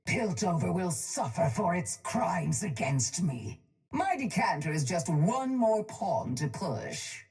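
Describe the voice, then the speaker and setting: Low, slow, masked villainess. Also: female voice, big pitch swings, a little mellow, exaggerated voice. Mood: cold, commanding. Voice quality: voice filtered through a breathing mask. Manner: cold, deliberate, commanding. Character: ruthless, haughty chem baroness, wealthy businesswoman villain. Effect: breather mask filter. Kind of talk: video game character voice lines. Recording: music playing behind the voice.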